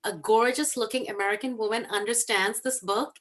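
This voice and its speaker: A woman's voice: a little high, fairly even intonation, a little fast, fairly bright tone. A woman from Hong Kong, a guest author, telling her story in casual chat over a video call with earnest animation and many ums.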